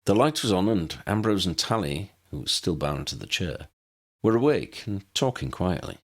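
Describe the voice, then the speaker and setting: A man's voice: low-pitched, sing-song, normal speed. A man reading scripted story narration as an audiobook narrator.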